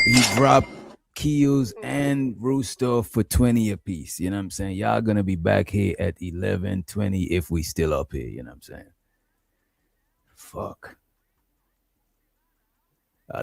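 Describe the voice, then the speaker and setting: Gravelly laid-back male stream host. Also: deep voice, no echo. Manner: loose, drawling, talks to the chat. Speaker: livestream host. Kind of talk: casual stream commentary.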